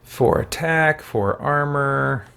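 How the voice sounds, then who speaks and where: A man's voice: on the high side, takes it slowly, vocal fry, background noise. A man giving casual gameplay commentary as he plays, musing aloud. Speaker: gamer, let's play host.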